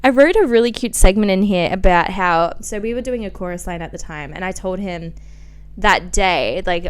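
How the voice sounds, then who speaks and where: Podcast host: Australian woman, twenties, clean smooth tone, theatrical diary-reading delivery with self-deprecating, chatty asides. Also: fairly low pitch. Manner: animated, self-mocking, giggly, exclaiming.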